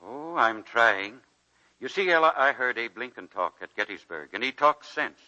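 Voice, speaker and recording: A man's voice: mid-range voice, lively intonation, normal speed, pushed and bright, lo-fi sound. An actor playing an idealistic old male college president, earnest and folksy, in a 1940s radio drama. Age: older.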